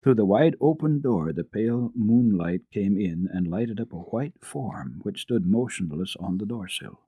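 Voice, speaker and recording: A man narrating a children's classic as a dramatic radio reading, deliberate and expressive in his storytelling. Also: lowish voice, slightly rough, no echo.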